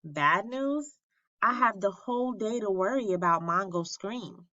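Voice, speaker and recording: A woman with a bright, forward voice reads a storybook aloud at an unhurried pace. She stresses key phrases and leaves dramatic pauses. The recording is slightly dull and lo-fi. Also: mid-range voice, expressive, no echo. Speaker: storybook reader at an elementary school. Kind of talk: expressive read-aloud of a children's story.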